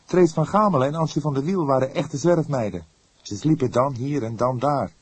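A man voicing an anxious, excitable young man in an audiobook, earnest, breathless and insistent as he reports to the police. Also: medium pitch, moderate pace, slightly rough, dry recording, pitched above the performer's own voice, close to an everyday voice. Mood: earnest, calmer.

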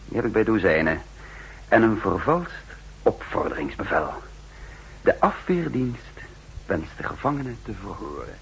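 An actor playing a cool, commanding spymaster and double agent in a 1960s Dutch radio drama, speaking in an assured, deliberate way. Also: male voice, lowish voice, sing-song, gravelly, slight background noise, rough recording. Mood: brisk, commanding.

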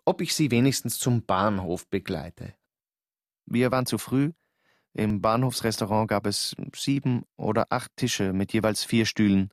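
An actor narrating in the first person as a young actor, a thoughtful, questioning voice in a radio drama. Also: male voice, lowish voice, dry recording, studio quality. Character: Georg, young Austrian actor, reflective narrator.

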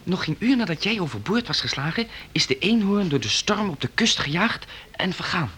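An actor playing an eager, warm-hearted young companion in a radio drama, recounting things earnestly. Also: male voice, sits high, sing-song, brisk. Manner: earnest, explanatory storytelling.

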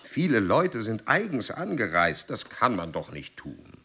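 Pompous, bright-voiced old explorer baron. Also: male voice, on the low side, huge pitch swings, unhurried, breathy edge, full character voice. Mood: reproachful. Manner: pompous, oratorical. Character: aged, celebrated explorer baron, grandiose and self-important. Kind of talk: German radio play acting.

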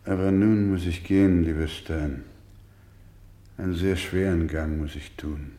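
Weary, devout doctor for radio drama: slow, solemn, gentle, halting, roomy echoing sound. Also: male voice, low voice, fairly even intonation. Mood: grave, sorrowful. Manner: solemn, halting, swelling from whisper to fervour. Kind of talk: dramatic radio play acting.

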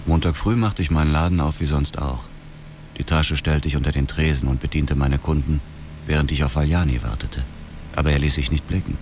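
An actor playing a cold, brooding widower turned killer, narrating a radio drama in a detached, deliberate way. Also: male voice, very deep voice, fairly even intonation, noisy background. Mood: matter-of-fact. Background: music.

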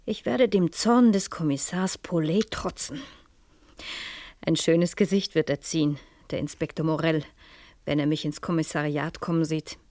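An actress playing an experienced, inquisitive professor and amateur sleuth, pensive and breathy, in a German radio crime drama. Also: female voice, low-pitched, steady tone, moderate pace, warm tone, no room sound, close to an everyday voice. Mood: reflective.

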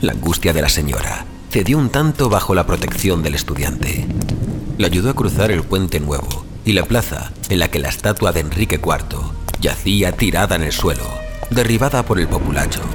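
Deep-voiced male dramatic audiobook narrator. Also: lively intonation, moderate pace. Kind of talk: scripted dramatic literary narration. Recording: clear music bed behind narration.

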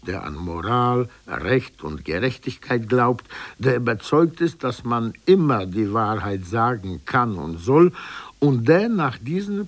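Expressive man reading a literary essay. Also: medium pitch, no echo. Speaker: literary commentator. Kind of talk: prepared essay read aloud.

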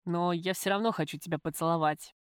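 A woman voicing a tender, worried male lover in an audiobook, speaking softly and earnestly. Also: fairly low pitch, steady tone, brisk, smooth, dry recording, studio quality, lightly acted. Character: tender, worried man visiting his imprisoned lover.

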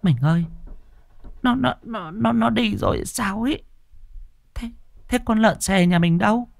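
A man doing a shrill panicky village wife. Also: unhurried, creaky, no echo, clean studio sound. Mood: panicked. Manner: stammering, shrieking high.

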